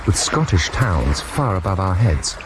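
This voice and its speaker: An actor playing a wry adventurer recounting his ordeal in a radio drama, in formal, understated, deadpan first-person narration. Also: low voice, a little slow. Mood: dry recounting. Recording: noisy 1990s radio drama broadcast.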